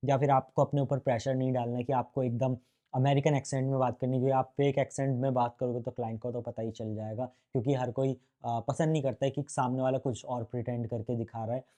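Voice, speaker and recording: A man's voice: medium pitch, little pitch movement, fast, mellow, lo-fi sound. A man from India giving a casual freelancing lesson, chatty and speaking straight to the viewer, slipping English phrases into his talk. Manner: chatty, mixes English phrases into Hindi, addresses viewer directly. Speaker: freelancing course instructor. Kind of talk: casual tutorial talk to camera.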